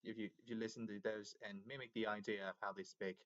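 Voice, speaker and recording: A man's voice: lowish voice, flat delivery, fast, a bit bright, muffled recording. A man from Myanmar, chatting casually in English with many fillers. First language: Burmese. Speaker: English learner. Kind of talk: casual video chat.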